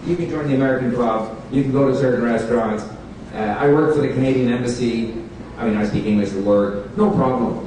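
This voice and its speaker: Man at a bookstore event, chatty talker, mid-range voice, picked up far off in a reverberant space. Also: medium pitch. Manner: relaxed, chatty, switches languages, asks the audience questions. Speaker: speaker giving a talk on language learning. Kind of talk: informal public talk to an audience. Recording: echoey room recorded from far off, background noise.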